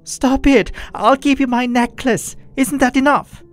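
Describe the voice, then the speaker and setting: A man's voice: sits high, takes it slowly, vocal fry, dark tone, faint music behind, pitched above the performer's own voice, full character voice. A man doing a pleading, tearful young woman. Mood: desperate. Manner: pleading, emotional.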